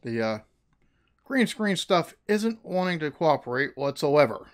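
American guy in his 30s chatting on a headset, slightly high voice, laughs easy. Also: sing-song, unhurried. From United States. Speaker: reaction video host. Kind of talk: casual chat. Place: small room in front of a green screen.

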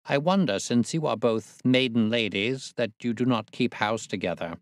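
Male narrator as a gentleman detective, medium pitch, brisk, precise, self-assured, courteous, dry audiobook recording. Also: no echo, natural voice. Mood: courteous, probing.

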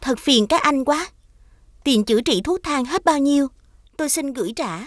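Demure cartoon heroine, young shopkeeper, polite, high-pitched, dry recording. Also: female voice, animated, normal speed, no room sound. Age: young adult. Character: modest, well-mannered young female shopkeeper. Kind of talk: animated fairy-tale dubbing.

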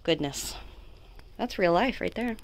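A young woman giving a casual tour of her small home while filming it on a phone, chatty and quick to laugh at herself. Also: on the low side, lively intonation. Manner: chatty, laughs often, self-deprecating asides. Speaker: vlogger and homeschooling mom. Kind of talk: casual house tour narration.

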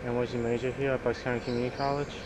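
A man's voice: mid-range voice, flat delivery, racing. A man off camera asking brief, repeated interview questions. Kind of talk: reading short interview questions. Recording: noisy recording.

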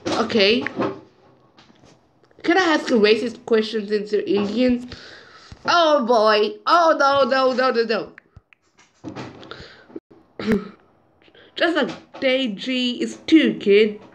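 A woman with a high voice reads chat messages aloud at an unhurried, halting pace. She mutters asides between the lines, recorded on a phone mic with a faint hiss behind her. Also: expressive. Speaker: channel owner. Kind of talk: reading out group chat messages over a screen recording.

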